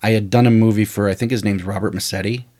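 A man's voice: lowish voice, quick pace. A man co-hosting a podcast, telling stories from his screenwriting career in a rambling, casual way. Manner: rambling, animated storyteller with frequent "you know" and "uh". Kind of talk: casual storytelling chat.

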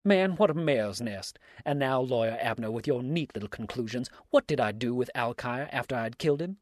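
A man's voice: mid-range voice, animated, normal speed, darkish tone, no room sound, clean studio sound, natural voice. A man voicing a defiant, sarcastic murder suspect in a storybook, with mocking, theatrical exclamations. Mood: mocking, loud. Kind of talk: dramatic storybook reading.

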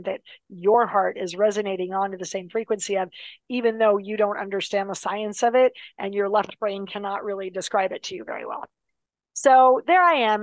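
A woman telling a long personal story in a casual, animated way, full of fillers and restarts, dropping her voice for serious parts. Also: mid-range voice, no room sound, rough recording.

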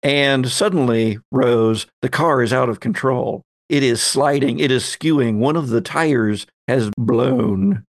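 A man's voice: medium pitch, a little slow, few pauses, no room sound. Man game master, close dry sound. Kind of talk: improvised narration of a tabletop game.

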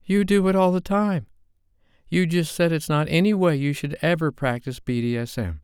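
A man's voice: on the high side, normal speed, darkish tone, clean tone, dry recording, studio quality, voice pushed up, natural voice. A man voicing an upset young woman in an audiobook, speaking in a raised, strained, heated way. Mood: angry, bitter.

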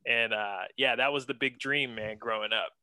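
A man's voice: mid-range voice, moderate pace, bright, forceful tone, dry recording. A young man from western Pennsylvania, a guest chatting casually and enthusiastically about his work, full of slang. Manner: laid-back, slangy, enthusiastic, says man a lot. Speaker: guest, senior art director. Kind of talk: casual interview chat.

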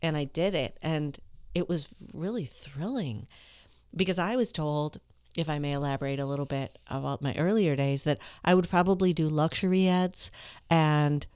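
A woman voice actor with a low, smooth voice that carries some authority, telling stories from her career in an interview and acting out the dialogue. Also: moderate pace, no echo, studio quality. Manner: animated, tells stories with quoted dialogue. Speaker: voice actor guest. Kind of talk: conversational interview storytelling.

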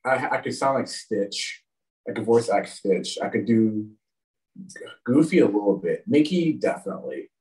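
A man's voice: lowish voice, lively intonation, moderate pace, a little mellow, far from the mic, low-quality recording. A man whose first language is French, talking with a lisp as a wrestling guest in a rambling, self-deprecating casual chat.

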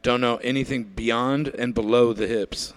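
Shady doctor for a parody radio play, man improvising: mid-pitched, casual, sheepish, evasive. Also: steady tone, measured pace, natural voice. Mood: furtive. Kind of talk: improvised comedy acting.

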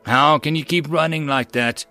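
A man's voice: a little high, normal speed, sharp tone, faint music behind, pitched above the performer's own voice. A man doing a grumpy, worn-out alien soldier. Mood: weary. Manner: whiny, grumbling. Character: weary furred alien male soldier, grumpy.

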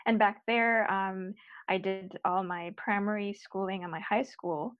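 A woman's voice: medium pitch, fairly even intonation, measured pace, no room sound. Young woman from Guyana, dry dull audio. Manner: earnest, fluent with many fillers. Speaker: guest, recent PhD graduate. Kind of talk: casual podcast interview.

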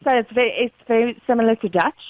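A woman's voice: fairly high pitch, fast, dry recording. South African woman calling in by phone. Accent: Afrikaans-influenced South African. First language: Afrikaans. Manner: polite, agreeable, hesitant fillers. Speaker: caller. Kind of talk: phone-in call. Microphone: telephone. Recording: muffled phone line.

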